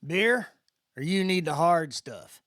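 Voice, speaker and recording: A man's voice: a little high, expressive, slow, fairly bright tone, dry recording, studio quality, pitched above the performer's own voice. A man voicing an easygoing good old boy from Mississippi, a spacefaring mentor with a folksy Southern drawl, in a science fiction audiobook. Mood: boisterous.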